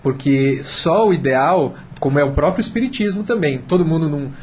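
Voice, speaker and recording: A Brazilian man with a slightly high voice talks on a radio panel, with some light noise behind him. He explains persuasively and with emphasis, stacking repeated phrases to drive each point home. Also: brisk, a little mellow. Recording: clear background noise.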